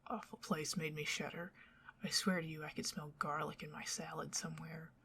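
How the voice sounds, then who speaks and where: An older vampire lady, acted by a woman for an ASMR roleplay, bewitching, possessive and archly theatrical. She whispers in a husky, breathy voice full of creak, taking her flowery phrases very slowly. She dotes and fusses with old-fashioned grandeur. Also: fairly low pitch, full character voice.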